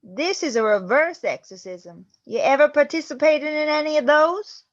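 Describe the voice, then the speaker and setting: A woman's voice: high-pitched, moderate pace, lightly acted. A woman playing a plucky grandmother ghost in an amateur radio play, recorded with dull sound. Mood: eager, persuasive.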